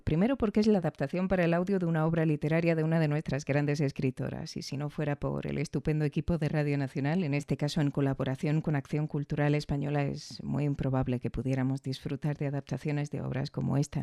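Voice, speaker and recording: A woman's voice: low-pitched, creaky, warm tone, no room sound, studio quality. A woman reading a reflective, scripted review as podcast host. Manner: reflective, measured.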